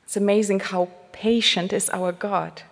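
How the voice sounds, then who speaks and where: A religious sister with a Polish accent giving a heartfelt talk to a congregation, slowing to a hushed tone when she prays. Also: mid-range voice, fairly even intonation. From Poland. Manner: slow, heartfelt, drops to a hushed near-whisper in prayer. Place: large church shrine. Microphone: headset mic. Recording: long church reverb.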